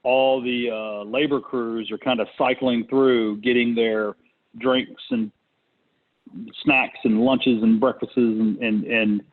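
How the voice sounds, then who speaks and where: A man hosting a business conference call in casual, unscripted talk, speaking hesitantly with thinking pauses. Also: mid-range voice, steady tone. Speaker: conference call host, real estate investor. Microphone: conference call connection. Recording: muffled, narrow phone-line sound.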